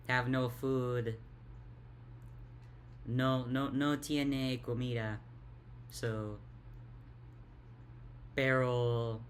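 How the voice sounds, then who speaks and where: Deep-voiced tired young woman, halting. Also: a little hiss or hum. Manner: halting, stuttering, repeats words. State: tired, worn out. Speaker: vlogger. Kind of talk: casual confessional monologue.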